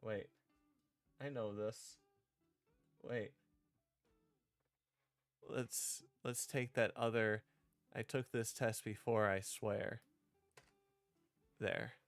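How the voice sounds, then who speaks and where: Slow-paced man thinking out loud. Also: lowish voice, fairly even intonation, dry recording, soft background music. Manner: halting, thinking out loud, repeating and rewording lines. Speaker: streamer developing own visual novel. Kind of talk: casual livestream, reading and drafting script aloud.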